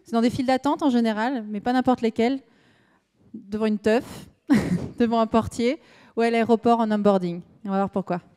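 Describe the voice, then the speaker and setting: A woman hosting a project showcase, introducing teams in a brisk, upbeat way. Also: fairly high pitch, steady tone, warm tone. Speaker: event host. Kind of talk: emcee introductions.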